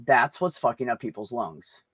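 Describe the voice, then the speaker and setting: A man's voice: mid-range voice, big pitch swings, normal speed, a bit bright, breathy edge, no echo. A man in a casual group chat, talking bluntly and informally with plenty of swearing.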